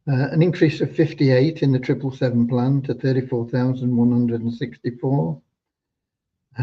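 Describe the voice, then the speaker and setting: A slightly hoarse man hosting an informal livestream update for members, talking in a rambling, halting way with hesitant repeats. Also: mid-range voice. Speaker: host giving business updates to members. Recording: dull, lo-fi online audio.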